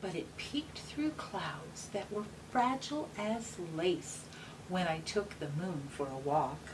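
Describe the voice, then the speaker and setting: Female children's read-aloud host, very unhurried and patient, simple explanatory phrasing, recorded off-mic with slight noise. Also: lowish voice, lively intonation. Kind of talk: gentle explanatory talk and picture-book read-aloud for young children. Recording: distant mic with faint background noise.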